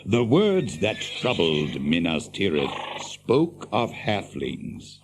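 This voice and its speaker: An actor playing a gruff, loyal dwarf warrior in a fantasy radio drama, speaking deliberately. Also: male voice, low-pitched, wildly swooping pitch, noisy background, exaggerated voice. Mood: earnest.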